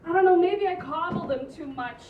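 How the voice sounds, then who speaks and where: Comedy table read: woman as pushy middle-aged aunt, pleading and blunt, lively high voice, distant dull recording. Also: brisk. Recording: echoey, dull, distant room recording, faint noise.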